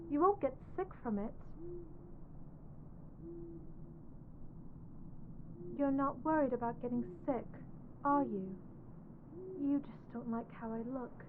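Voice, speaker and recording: A woman voicing a lovestruck, clingy undead corpse bride in an ASMR audio roleplay, soft, earnest and pleading. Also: sits high, phone-quality sound, noisy background. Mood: apologetic, hurt.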